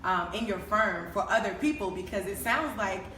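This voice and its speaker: A woman's voice: mid-range voice, normal speed. A woman in her thirties hosting a talk show, asking questions and encouraging her guest. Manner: encouraging, frequent affirmations. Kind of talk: interviewing a guest. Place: talk show set on stage, seated in armchairs. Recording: echoey room from far off, noisy.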